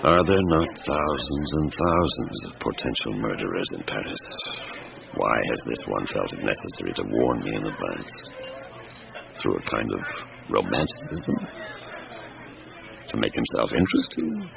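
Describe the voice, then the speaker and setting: An actor playing a brooding, weary middle-aged chief inspector in a radio drama, gruff, musing and unhurried. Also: male voice, a bass voice, animated, lo-fi sound, a crowd in the background, heavily acted. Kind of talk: radio drama acting with inner monologue. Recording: noisy band-limited radio broadcast recording.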